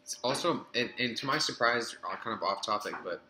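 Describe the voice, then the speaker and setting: A man's voice: mid-range voice, lively intonation, brisk, bright, forceful tone. A man in his early twenties chatting casually on a live stream, rambling between topics and answering viewer comments. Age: 20s. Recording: echoey room with background noise.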